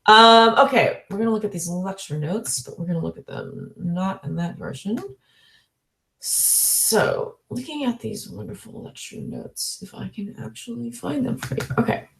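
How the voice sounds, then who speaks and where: A woman's voice: mid-range voice, huge pitch swings, moderate pace, vocal fry, fairly bright tone, echoey room. A woman in her forties giving a recorded online lecture, chatty and full of fillers, correcting herself and laughing lightly between points. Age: 40s.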